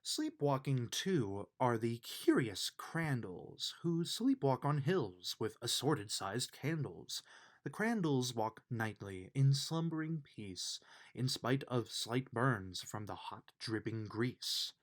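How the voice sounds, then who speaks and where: A man reads a rhyming children's storybook aloud at an unhurried pace. His medium-pitched voice swings widely up and down, giving the verses an expressive, sing-song lilt. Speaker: reader of a children's book.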